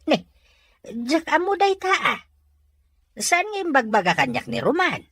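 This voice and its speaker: Man doing older filipino dad, bit dim and teasing, husky, slow drawl, pitch all over. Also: wildly swooping pitch, fairly bright tone, no echo, full character voice. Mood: surprised, teasing. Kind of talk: radio drama acting.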